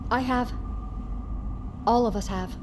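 Calm lady scientist, higher voice, matter of fact explainer, audio drama. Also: takes it slowly, background noise. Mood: composed, certain. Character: composed woman scientist on an expedition crew.

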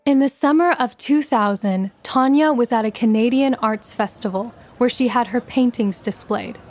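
A woman's voice: a little high, moderate pace, darkish tone, no room sound. A woman reading a scripted biographical story for children as its narrator.

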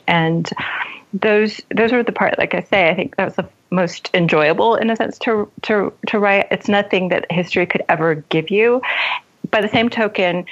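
A woman with a fairly low voice speaks as a guest author in an interview. She is articulate and thoughtful, reflecting on her craft. The recording is a little dull and lo-fi. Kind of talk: reflective interview conversation about writing.

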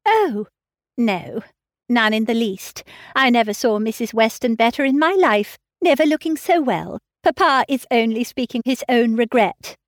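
A woman's voice: a little high, measured pace, no echo, clean studio sound, pitched above the performer's own voice, lightly acted. A woman voicing a cheerful, reassuring young woman in a storybook reading.

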